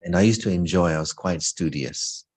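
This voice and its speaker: Very deep-voiced man, informally reminiscing. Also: moderate pace. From England. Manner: reflective, anecdotal, lightly humorous. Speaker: spiritual speaker. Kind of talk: informal talk sharing personal story.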